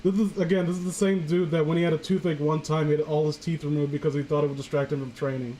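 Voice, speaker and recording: A man's voice: a little high, level tone, fast talker, mellow, poor audio. A man chatting casually and jokingly as a co-host, rambling and swearing as he goes. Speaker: co-host of a comedy story-reading show. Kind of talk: casual joking chat and mock reading. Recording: faint background noise, home recording.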